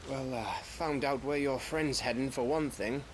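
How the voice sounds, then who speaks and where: Guy acting a wry rough-edged game scout, folksy and chatty, noisy ambience behind him. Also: medium pitch, steady tone, normal speed, close to an everyday voice. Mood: earnest, informative. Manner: colloquial, folksy storytelling. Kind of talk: video game cutscene acting. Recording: clearly noisy background ambience. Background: ambient noise.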